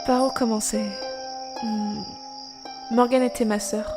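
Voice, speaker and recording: An actress playing a devoted young elder sister in an audio drama, telling of her past in a measured, earnest, literary way. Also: on the high side, flat delivery, music in the background. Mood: wistful, sober. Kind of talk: audio drama acting and storytelling.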